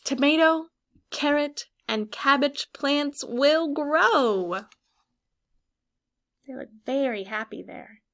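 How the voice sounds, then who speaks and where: A woman with a fairly high voice leads a picture-book read-aloud for young children. She speaks like a teacher, expressively and clearly instructive, drawing out key words and putting questions to her listeners with pauses for them to think. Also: fairly bright tone.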